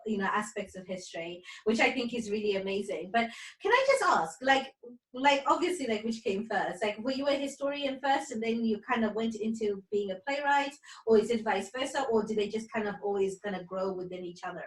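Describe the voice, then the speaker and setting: A woman's voice: mid-range voice, expressive, fairly quick. A woman co-hosting a podcast in casual, effusive and informal chat. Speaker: podcast co-host. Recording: roomy, distant from the mic.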